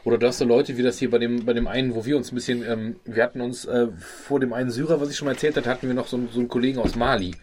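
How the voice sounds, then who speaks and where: Brief: male podcast host, rapid rambling talk, mid pitch. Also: steady tone, a little mellow, slight background noise. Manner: rapid, rambling, frequent restarts. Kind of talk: casual group discussion.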